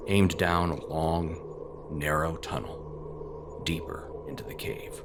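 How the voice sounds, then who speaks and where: A man narrating a scripted horror story in a measured, dramatic, suspenseful way. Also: a bass voice, steady tone, gravelly, background noise. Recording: loud music under the voice. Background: loud background music.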